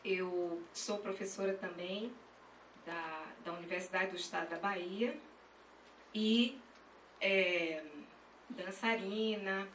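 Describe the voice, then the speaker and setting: Slow-paced northeastern Brazilian woman, echoey and distant. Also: medium pitch, fairly even intonation, fairly bright tone. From Pernambuco, Brazil. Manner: halting, checks in often with tag questions. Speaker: graduate dance student and teacher presenting research. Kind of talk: academic conference presentation. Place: echoey session room at a university dance school. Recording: roomy, distant mic, faint noise.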